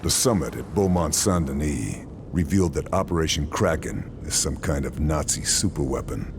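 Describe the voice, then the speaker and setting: A man's voice: a bass voice, moderate pace, noisy background. A man playing a hardened, resolute lone sniper agent in a video game, terse and matter-of-fact in his narration. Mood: grim, resolute. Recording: game audio with music behind.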